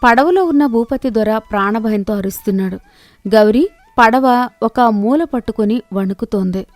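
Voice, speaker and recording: A woman narrating a children's moral story in a steady, clear storytelling manner. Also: fairly high pitch, normal speed, no echo.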